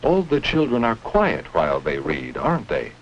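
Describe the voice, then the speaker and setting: A man's voice: on the low side, wildly swooping pitch, moderate pace, highs cut off. A man narrating a 1950s educational film for children, speaking in simple instructive phrases and leading questions. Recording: noisy, band-limited 1950s film soundtrack.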